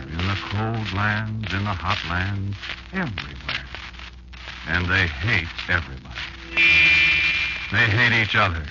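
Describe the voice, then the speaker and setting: Old insect patriarch, vintage radio drama, low-voiced, ominous, deliberate, very animated intonation, dull archival sound. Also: male voice, heavy background noise. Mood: bitter, defiant. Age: older. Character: old insect elder warning his child of giants. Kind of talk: 1940s radio drama monologue. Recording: muffled old 1940s radio recording, loud music.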